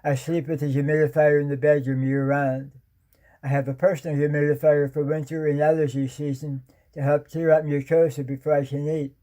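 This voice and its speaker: A man reading his prepared testimony as a patient, in a flat, even way. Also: fairly high pitch, fairly quick, poor audio. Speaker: patient speaker. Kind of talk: prepared testimony read aloud.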